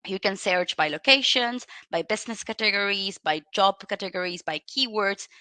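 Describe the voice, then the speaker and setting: A woman's voice: medium pitch, moderate pace, bright, forceful tone, no echo. A woman recruiter from Argentina giving an online presentation, friendly and direct with the audience.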